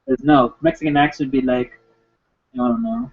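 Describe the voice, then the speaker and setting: A man ranting casually and sarcastically about wrestling, rambling and slipping into quick impressions. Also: a little high, sing-song, creaky, slightly rough. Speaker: wrestling commentator. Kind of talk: casual rant. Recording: tinny phone-like lo-fi sound.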